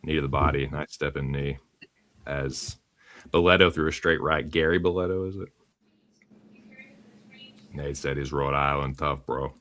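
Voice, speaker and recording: A man with a New England accent giving relaxed, self-mocking play-by-play of a fight during a live watch-along. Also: very deep voice, moderate pace, long pauses. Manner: laid-back play-by-play, self-mocking about bets. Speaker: co-host, live fight commentator.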